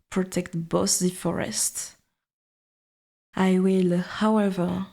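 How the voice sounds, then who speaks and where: A woman voicing an ancient, dominant forest god in an ASMR roleplay, speaking in formal, archaic wording with a breathy half-whisper and quiet menace. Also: on the low side, heavily acted. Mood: calm, guarded.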